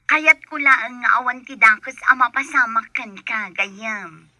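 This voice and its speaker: A woman's voice: on the high side, a little slow, bright, forceful tone, no room sound. A woman acting a cautious, worried, loyal employee in an Ilocano radio drama, with an Ilocano Filipino accent. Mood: concerned, cautious.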